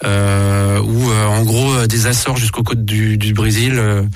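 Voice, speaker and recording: A man's voice: lowish voice, level tone, a little slow, no room sound. A man in his fifties, a musician guest in a radio interview, talking casually with lots of hesitant repetitions. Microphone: studio microphone. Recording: dry radio studio, faint music.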